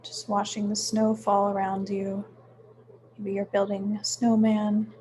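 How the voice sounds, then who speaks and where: A woman leading a guided meditation in a soothing, unhurried manner, with frequent pauses between short phrases. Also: mid-range voice, completely flat delivery, dark tone, a little hiss or hum. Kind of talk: guided meditation, gentle instruction.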